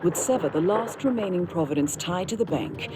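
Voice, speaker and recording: An actress playing a composed, resolute intelligence operative in a video game, speaking in a crisp, businesslike way. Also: female voice, on the low side, animated, fairly quick, heavy background noise, natural voice. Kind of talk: cinematic game dialogue, mission briefing. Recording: loud music behind the voice.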